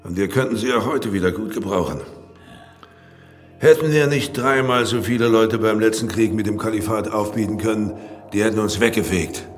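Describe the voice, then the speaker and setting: A man's voice: fairly low pitch, normal speed, faint music behind, natural voice. An actor playing a blunt, emphatic man in a radio drama, arguing his case matter-of-factly. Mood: blunt, insistent. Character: blunt male character arguing for powerful weapons.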